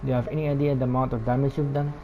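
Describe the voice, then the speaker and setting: A man's voice: mid-range voice, level tone, very fast. A man casually commenting on a game, murmuring along and reading dialogue aloud. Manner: frequent murmured acknowledgements. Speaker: gamer commenting on gameplay. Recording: dull mic, game music behind.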